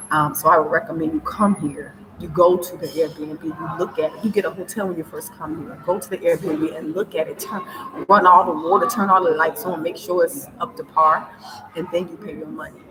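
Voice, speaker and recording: A woman giving direct, emphatic advice in a casual vlog, repeating her key points. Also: low-pitched. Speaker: vlogger giving advice to newcomers. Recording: noisy recording with background ambience.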